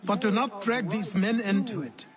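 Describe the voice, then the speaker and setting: An actor playing a stern, cautioning mentor in a video game, speaking formally and in measured admonishment. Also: male voice, high-pitched, close to an everyday voice. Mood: stern warning. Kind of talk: dramatic video game acting. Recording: busy crowd behind the voice.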